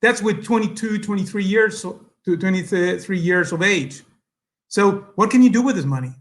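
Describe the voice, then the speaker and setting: A middle-aged Salvadoran man with a fairly high voice gives an earnest speech at an event. He speaks English with a Spanish accent and stops often on fillers as he gathers his thoughts. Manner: earnest, halting, many fillers, switches to Spanish. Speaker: guest speaker.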